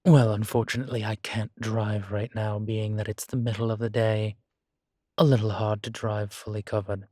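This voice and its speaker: A man playing a guilt-ridden, gentle and wry ancient vampire in an intimate audio roleplay, speaking in a halting, reflective way. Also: lowish voice, level tone, dry recording, studio quality, close to an everyday voice. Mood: resolved, courteous. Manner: halting, reflective, with sighs and soft chuckles. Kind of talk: intimate one-sided audio roleplay acting.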